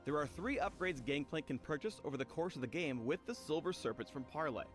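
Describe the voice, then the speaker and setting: A man's voice: a little high, lively intonation, brisk. A man reading scripted explainer narration for a video game tutorial in a polished, instructive way. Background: music.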